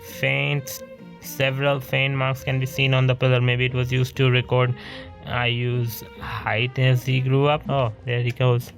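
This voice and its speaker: A man's voice: mid-range voice, flat delivery, unhurried, creaky. A young man giving casual commentary on a game he is playing, wry and grumbling. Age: young adult. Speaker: gamer commenting on a playthrough. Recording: game music playing behind the voice.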